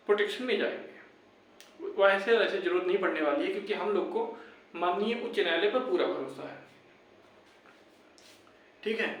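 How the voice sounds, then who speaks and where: A man's voice: high voice, a bit bright. A young man, talking informally and insistently to his viewers, repeating his points as he gives advice and urges them on. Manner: insistent, repetitive, addresses viewers as brothers. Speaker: YouTube exam-update presenter. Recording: very echoey room, distant microphone.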